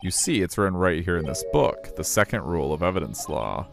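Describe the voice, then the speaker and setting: A man playing a determined, confident young defence lawyer in a video game, arguing emphatically and deliberately. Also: deep voice, sing-song, gravelly, music in the background, heavily acted. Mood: methodical.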